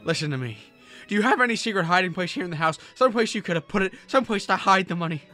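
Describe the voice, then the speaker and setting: An earnest American small-town businessman played by a male actor in a radio play. His voice sits a bit high and swings widely, folksy and emphatic, with faint music in the recording. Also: lively intonation, fast talker, bright, forceful tone. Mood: frantic, furious. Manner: folksy, emphatic, stammering when pressed. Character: earnest small-town businessman, kindly but increasingly frantic. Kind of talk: radio drama acting.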